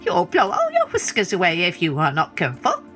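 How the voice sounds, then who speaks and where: A woman's voice: lowish voice, huge pitch swings, brisk, fairly bright tone, faint music behind, full character voice. A woman voicing a tiny, defiant gnat, cheeky and indignant, in a storybook tale.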